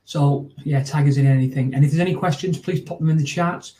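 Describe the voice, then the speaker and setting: A man's voice: on the high side, steady tone, brisk, dark tone. A man in his forties from the north of England, with a Northern English accent, wrapping up a training webinar at home over a video call in a chatty, informal way with lots of fillers and restarts. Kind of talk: closing remarks of a training webinar. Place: small room at home. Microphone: video call webcam.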